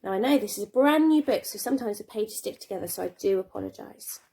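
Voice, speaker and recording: A woman reading a children's storybook aloud like a primary teacher, expressively, with dramatic pauses and emphasis. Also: mid-range voice, expressive, creaky, mellow, rough recording.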